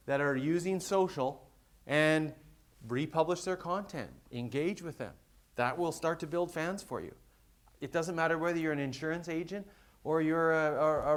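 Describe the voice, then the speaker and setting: A man speaking at a conference, talking through a business presentation in a relaxed, conversational way. His voice sits a little higher than most men's, and he keeps the talk moving by tossing in quick everyday examples.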